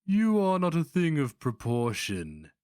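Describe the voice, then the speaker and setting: A man's voice: on the high side, huge pitch swings, unhurried, a little mellow, smooth, dry recording, clean studio sound, voice pushed up. A man voicing a haughty, contemptuous monstrous sorcerer grand master in archaic, formal speech for a fantasy story. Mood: disgusted.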